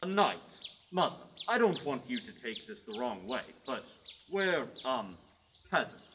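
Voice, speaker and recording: A man's voice: medium pitch, sing-song, measured pace, a bit bright, soft background music. A young man playing a blunt, mocking peasant son in a student radio play. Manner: blunt, dismissive.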